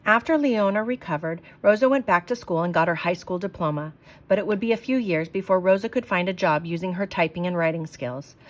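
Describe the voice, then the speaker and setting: A woman's voice: fairly low pitch, fairly quick. Woman reading a children's book aloud. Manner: clear, expressive storytelling.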